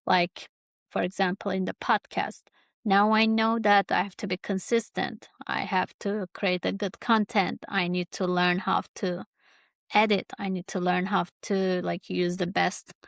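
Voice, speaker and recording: Halting woman with a creaky, even voice. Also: mid-range voice, dry recording, studio quality. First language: Kurdish. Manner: halting, searching for words. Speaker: podcast host. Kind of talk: personal reflective monologue.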